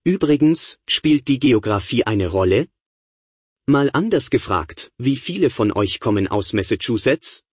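A man's voice: mid-range voice, lively intonation, measured pace, a little mellow, breathy edge, dry recording. A middle-aged man lecturing to college students in a conversational way, firing rhetorical questions at the class. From Niagara Falls area, New York, USA. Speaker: professor.

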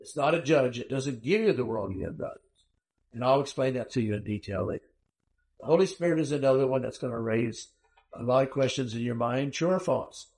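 A man delivers a recorded Bible lecture at a middle pitch, his voice gravelly with vocal fry. He sounds slightly hoarse. He teaches in a measured, emphatic way and poses rhetorical questions to his listeners. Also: darkish tone. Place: small room, not a classroom.

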